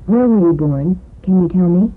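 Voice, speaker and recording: A man reading drill sentences in a measured, clearly enunciated way for a language lesson. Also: high-pitched, expressive, phone-quality sound.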